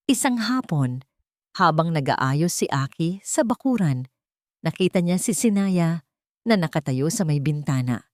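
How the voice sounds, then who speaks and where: A woman with a Filipino accent reading a scripted story in a measured, sentimental, dramatic way. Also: lowish voice, darkish tone, dry recording, studio quality. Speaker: storyteller, narrator.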